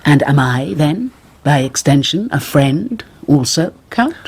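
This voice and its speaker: A woman's voice: low-pitched, very animated, unhurried, darkish tone, slightly rough, exaggerated voice. An actress playing an anxious, dignified noblewoman and mother in a radio drama, speaking formally and hesitantly. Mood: tense, hesitant.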